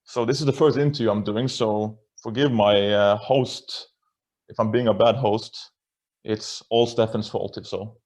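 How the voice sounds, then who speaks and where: A man's voice: fairly low pitch, steady tone, a little slow, a little mellow. A Norwegian man hosts a casual interview over a video call, speaking English as his third language. He talks in a chatty, self-deprecating way and often restarts his sentences. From Norway. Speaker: host.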